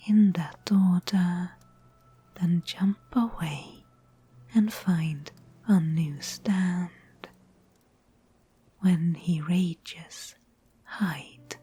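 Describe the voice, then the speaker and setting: A woman playing a mysterious, protective fantasy guide in a whispered ASMR roleplay, breathy, measured and solemn. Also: on the low side, dark tone, full character voice.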